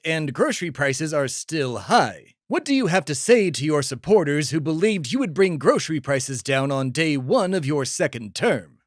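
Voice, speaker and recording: A man's voice: on the high side, no room sound, clean studio sound. A young man hosting a scripted comedy podcast, speaking in a pompous, grandiose and theatrical manner. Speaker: podcast host.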